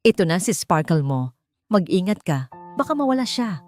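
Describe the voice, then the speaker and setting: A woman with a Filipino accent voicing a gentle, kindly young woman in an audiobook story. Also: on the low side, sing-song, moderate pace. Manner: soft-spoken, gentle. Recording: music bed under the voice.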